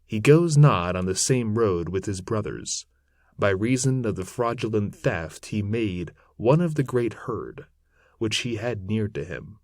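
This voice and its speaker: A man narrating an old epic poem aloud in a solemn, measured, theatrical manner with archaic phrasing. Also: fairly low pitch, expressive, darkish tone, dry recording, clean studio sound. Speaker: narrator reading poetry aloud. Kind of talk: dramatic recitation of an epic poem in archaic English.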